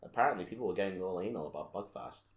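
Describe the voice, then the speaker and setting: Rambling low-voiced young man. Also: animated, very fast, echoey room. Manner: rambling, laughing, swearing, many restarts. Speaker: podcast co-host. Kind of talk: casual chat.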